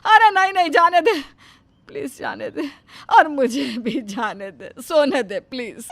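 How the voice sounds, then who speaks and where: A woman's voice: sits high, measured pace, fairly bright tone. A woman voicing an exasperated, nervous mother-in-law who is sarcastic and stammers when scared.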